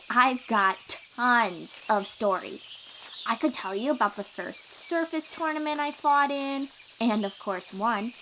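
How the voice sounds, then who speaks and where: A woman playing a cheerful, boastful young sea-dwelling warrior in an audio roleplay, eager and expressive as she talks to the listener. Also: on the high side, a little hiss or hum, rough recording. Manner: eager, bragging, reassuring, expressive storyteller.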